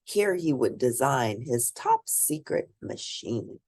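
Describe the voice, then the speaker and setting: A woman reading a children's picture book aloud in an expressive, emphatic way, with asides to young listeners. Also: very deep voice, slow pace. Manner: animated, emphatic storytelling. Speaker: children's book reader and host.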